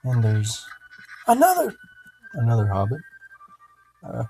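A man's voice: lowish voice, lively intonation, a little slow, vocal fry, darkish tone, poor audio. A man giving casual, rambling gameplay commentary, swearing and muttering to himself as he plays. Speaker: gaming video host. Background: video game music.

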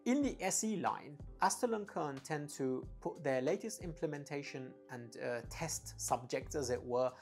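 A man's voice: fairly high pitch, animated, normal speed, few pauses, faint music behind. A man in his thirties giving an enthusiastic, emphatic, casual product review to camera as an audio gear reviewer. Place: small home studio room, indoors. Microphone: lavalier clipped at the chest.

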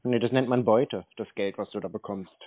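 A man's voice: on the low side, a little fast, slightly rough, dry recording. A man lecturing sardonically in a confrontational phone conversation, baiting the caller on a muffled line.

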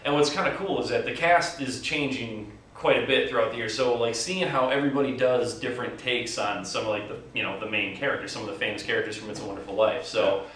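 A man's voice: medium pitch, fairly even intonation, quick pace, hardly any pauses, a bit bright. An American man in his thirties chatting casually as an interviewee, with a joking, mock-boastful manner. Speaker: actor and writer being interviewed. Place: indoor theatre set. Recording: echoey room, distant mic, faint noise.

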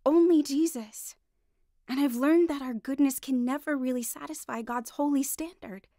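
A woman's voice: high-pitched, moderate pace, mellow, dry recording, studio quality. An actress playing an earnest, devout wife in a radio drama, acting and narrating her heartfelt testimony. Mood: earnest, eager.

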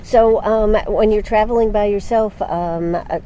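An older woman hosting a live radio interview outdoors at a golf course, chatty and unhurried, with plenty of fillers, into a handheld mic. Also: mid-range voice, fairly even intonation, warm tone, slight background noise.

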